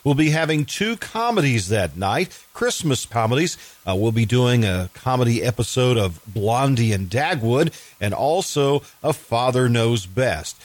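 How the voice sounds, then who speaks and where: A man's voice: medium pitch, expressive, unhurried. A man speaking as a radio show host, making announcements. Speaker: host, producer-director.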